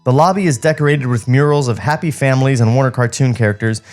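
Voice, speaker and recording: A man's voice: medium pitch, fast, darkish tone. A man co-hosting a comedy podcast, reading a script aloud and bantering in a casual, mocking way. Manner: mocking, sardonic. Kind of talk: casual comic banter and script table read. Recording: music playing behind the voice. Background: background music.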